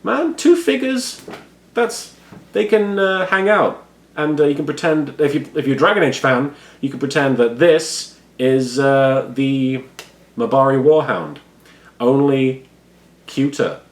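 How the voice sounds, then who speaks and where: A man with a froggy, hoarse voice, chatting casually and self-deprecatingly as a YouTuber unboxing a subscription box. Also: mid-range voice, measured pace. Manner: self-deprecating, rambling, quiet-natured. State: hoarse, froggy voice after studio work. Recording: echoey room with faint background ambience.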